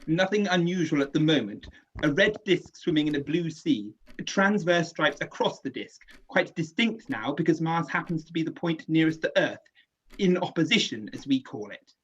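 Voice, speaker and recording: An actor playing a calm, skeptical male astronomer professor in a radio play, measured and dismissive. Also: a little high, husky, muffled recording. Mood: assured. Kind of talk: amateur radio play acting.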